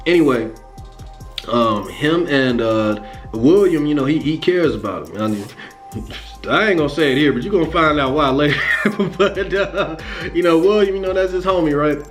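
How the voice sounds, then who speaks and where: An American man giving casual, animated fan commentary in slangy, profane, conversational speech, acting out lines as he retells a story. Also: fairly high pitch, normal speed, soft background music. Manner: animated, conversational, acts out lines and sound effects. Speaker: host of a comics and animation channel.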